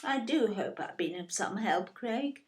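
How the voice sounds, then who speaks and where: A woman's voice: medium pitch, animated, unhurried, lightly acted. A woman with a British accent playing a snobbish, self-absorbed older wife and mother in an audio play, genteel, fussy and disparaging. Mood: gracious, polite.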